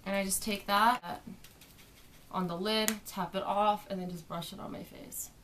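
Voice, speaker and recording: A young woman, slightly hoarse from a sore throat, talks casually through a makeup tutorial. She explains each step as she applies it. The sound comes from a webcam in her bedroom. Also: mid-range voice, fairly even intonation, normal speed, pushed and bright, slight background noise. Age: young adult. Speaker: beauty vlogger. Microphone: webcam at desk distance.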